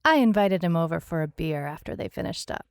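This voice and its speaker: A woman playing a wry, world-weary private detective, narrating her case log in a dry, deadpan noir style. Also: on the low side, fairly quick, smooth, no echo. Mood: dry, matter-of-fact. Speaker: narrator, lead actor.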